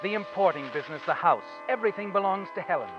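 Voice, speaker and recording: An actor playing an ominous, knowing storyteller, narrating in the second person in 1940s radio suspense style. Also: male voice, sits high, expressive, fast talker. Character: ominous, knowing storyteller addressing the guilty man. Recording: muffled old radio recording. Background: dramatic music.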